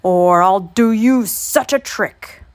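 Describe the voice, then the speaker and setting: A woman voicing a crotchety, blustering ancient man in a storybook, with a croaky, drawn-out holler. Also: a little high, sing-song, no echo. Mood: hollering, demanding. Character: crotchety, blustering eight hundred-year-old man.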